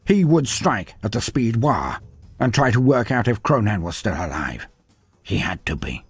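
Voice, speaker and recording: A male storyteller with a husky voice and a lively, swooping delivery. He narrates a scripted tale theatrically and with mock grandeur, over music. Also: lowish voice, moderate pace, no echo.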